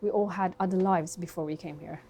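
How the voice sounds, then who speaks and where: A woman in her twenties, raised in Sweden, who works as a dentist. She speaks softly and reflectively in a documentary interview, with frequent fillers, at her dental practice. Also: on the low side, steady tone, fairly quick. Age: 20s.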